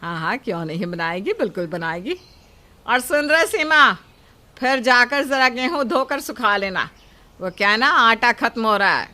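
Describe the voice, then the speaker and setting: Woman acting a bright-voiced bossy mother-in-law. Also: fairly high pitch, lively intonation, moderate pace. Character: bossy mother-in-law piling chores on her daughter-in-law. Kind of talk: Hindi animated moral story dialogue.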